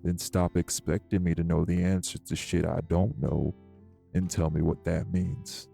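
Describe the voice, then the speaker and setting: A man playing a morose older wraith in an audio drama, blunt, folksy and scolding, with a husky, gravelly voice. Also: flat delivery, moderate pace, soft background music. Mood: exasperated. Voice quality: very gravelly, husky, dark low voice. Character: morose older male wraith, rural homebody, stern but hospitable. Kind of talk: dramatic audio roleplay acting.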